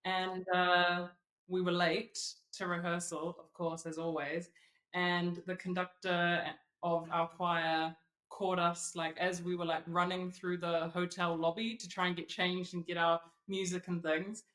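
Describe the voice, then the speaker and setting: A woman's voice: fairly low pitch, level tone, unhurried, fairly bright tone. A woman with a New Zealand accent, an opera singer and model, telling stories with giggles as a podcast guest. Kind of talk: casual interview chat. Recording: roomy echo.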